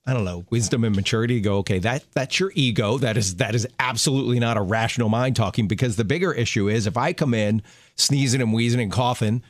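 A man's voice: mid-range voice, fast talker, no room sound. A man co-hosting a radio show, chatting in an easygoing way and chuckling often from the studio. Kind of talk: casual radio chat. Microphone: studio microphone.

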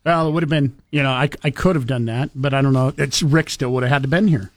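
A man's voice: mid-range voice, steady tone, quick pace. A man hosting a morning talk radio show, chatting casually, laughing easily and rambling with fillers. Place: radio studio. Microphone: studio microphone.

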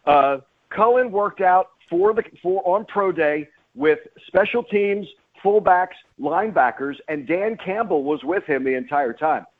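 Male sports radio guest, play-by-play type, emphatic and repetitive for stress, slightly lo-fi sound. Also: fairly high pitch. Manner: emphatic, repeats phrases for stress. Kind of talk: animated sports radio interview talk.

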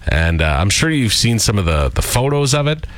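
Lowish-voiced radio guy from the prairies, chatty and rambly, dry studio sound. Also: big pitch swings, moderate pace, no echo. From Canadian prairies. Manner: rambling, chuckling storyteller with many fillers. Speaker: radio show co-host. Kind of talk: casual radio banter.

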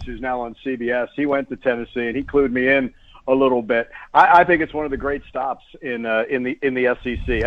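A man speaking over a phone line as a guest sports announcer, giving casual, chatty and emphatic analysis in a radio interview. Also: fairly high pitch. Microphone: phone call.

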